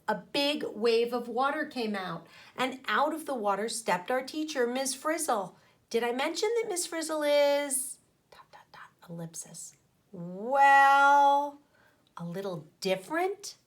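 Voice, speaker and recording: Expressive woman reading a storybook, high and animated. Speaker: teacher reading aloud to a class. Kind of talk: animated storybook read-aloud with asides to children.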